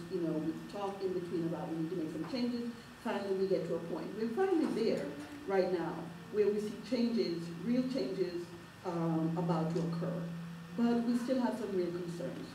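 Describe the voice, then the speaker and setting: A woman's voice: mid-range voice, animated, recorded from a distance. Jamaican woman in a roomy hall. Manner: earnest, halting, many fillers and restarts. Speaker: civil rights advocate speaking at a town hall. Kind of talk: public speech at a town hall. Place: public meeting hall. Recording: roomy hall recorded from afar, noisy.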